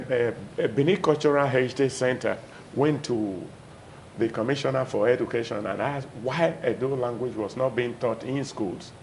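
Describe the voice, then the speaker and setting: A Nigerian man speaks as a guest in a studio discussion. His voice is gravelly, and he argues firmly, giving one example after another. A faint low rumble runs under the recording. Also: a little mellow. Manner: firm, confident arguing with examples.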